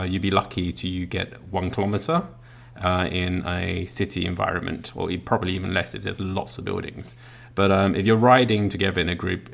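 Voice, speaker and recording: A man's voice: deep voice, creaky, a bit bright. A man walking through an unboxing, explaining a gadget casually with many ums and uhs while he handles it. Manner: demonstrating a gadget while talking. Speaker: product reviewer. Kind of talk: unboxing walkthrough.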